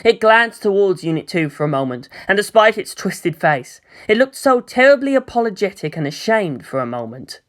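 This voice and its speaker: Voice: mid-range voice, big pitch swings, moderate pace, hardly any pauses, a bit bright. A narrator reading scripted fiction aloud in a dramatic storytelling style.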